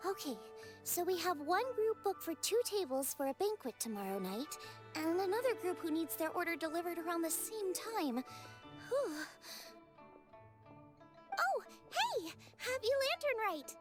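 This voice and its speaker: A bubbly teenage cook from a video game. Her voice is extremely high and swoops with lively inflection, chirpy and informal. Prominent music plays behind her. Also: measured pace, full character voice. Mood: busy, cheerful. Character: bubbly, eager, hardworking teenage girl cook.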